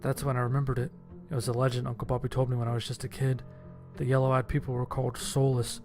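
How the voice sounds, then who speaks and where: A man's voice: medium pitch, little pitch movement, fast, music in the background. A man narrating a scripted horror story in the first person.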